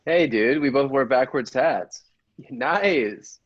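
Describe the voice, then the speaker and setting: A man's voice: medium pitch, lively intonation, slow, dry recording, dull audio. A man in casual, rambling conversation, swearing now and then as he talks. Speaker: podcast cast member. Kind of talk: casual chat.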